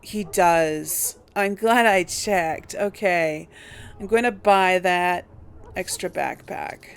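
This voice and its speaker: Slow-paced woman with a creaky voice. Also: lowish voice. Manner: thinking aloud, hesitant. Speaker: video game player narrating a role play. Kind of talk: casual let's play commentary.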